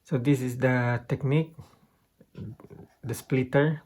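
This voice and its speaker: A man with a Filipino accent giving a casual, unscripted guitar gear demonstration, haltingly thinking aloud as he goes. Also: mid-range voice, fairly even intonation, darkish tone. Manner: halting, thinking aloud while pointing out settings.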